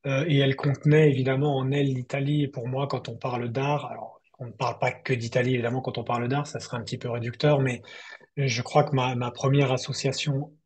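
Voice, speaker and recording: Romancier réfléchi, au débit hésitant. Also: male voice, mid-range voice, flat delivery, a little mellow, slight background noise. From southern France. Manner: thoughtful, halting delivery with repeated word starts. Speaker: novelist being interviewed. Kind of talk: reflective online literary conversation. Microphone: online video call.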